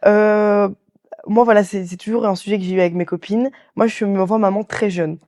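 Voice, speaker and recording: A woman's voice: medium pitch, steady tone, moderate pace, mellow. A woman, chatting casually and telling anecdotes as an interview guest, talkative and full of slang and quoted dialogue. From Belgium. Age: 20s. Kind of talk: casual chat, anecdotal storytelling.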